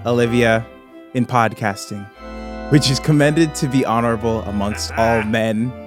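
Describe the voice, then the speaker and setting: A man's voice: mid-range voice, fairly even intonation, moderate pace, music in the background. A man co-hosting a comedy podcast, bantering playfully with a mock-solemn streak and laughing often. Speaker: podcast co-host. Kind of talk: jokey, bantering podcast chat.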